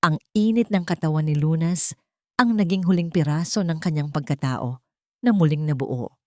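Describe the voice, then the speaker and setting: A woman narrating a melodramatic audio story in a scripted storytelling style. Her delivery is expressive, and her pitch swings up and down for emphasis. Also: normal speed, no room sound, studio quality.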